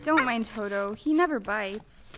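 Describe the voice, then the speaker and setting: Woman acting little girl, high-pitched, sincere, polite, wide-eyed, stage radio play, clear music bed. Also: a little slow, close to an everyday voice, rough recording. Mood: kindly. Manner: earnest, wide-eyed, proper.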